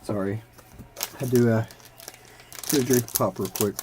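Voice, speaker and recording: Slow-talking congested man opening cards. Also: lowish voice, noisy background. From Kentucky. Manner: unhurried, reads player names as cards flip. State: congested, recovering from bronchitis. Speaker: card-opening video host. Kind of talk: casual card-ripping commentary.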